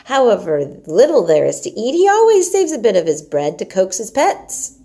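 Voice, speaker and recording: A woman's voice: medium pitch, wildly swooping pitch, moderate pace, warm tone, pitched above the performer's own voice. A woman reading storybook dialogue as a hearty, outspoken young housemaid who scolds in a blunt, emphatic way. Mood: brisk, encouraging. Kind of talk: storybook dialogue read aloud.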